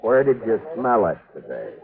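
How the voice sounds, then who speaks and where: An actor with an American accent playing a steady, dry, no-nonsense frontier marshal in an old radio western. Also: male voice, lowish voice, moderate pace, gravelly, narrow, tinny audio, a crowd in the background. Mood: impatient, dry. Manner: terse, dry, probing. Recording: thin, noisy old radio recording.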